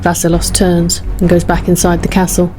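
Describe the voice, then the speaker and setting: A woman narrating a story in an even, steady way. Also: on the low side, normal speed, music in the background.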